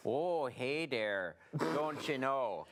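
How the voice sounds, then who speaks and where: A man's voice: medium pitch, expressive, drawn-out words, a bit bright. A man playing a cheery, folksy Scandinavian bumpkin with a sing-song mock Swedish accent in improv comedy.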